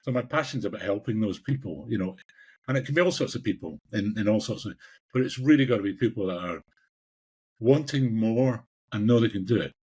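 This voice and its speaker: Husky older Scottish man, passionate. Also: on the low side, lively intonation, a little fast, a little mellow. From Scotland. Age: 60s. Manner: passionate, emphatic, repeats phrases for emphasis. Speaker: executive coach, podcast guest. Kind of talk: conversational interview answers.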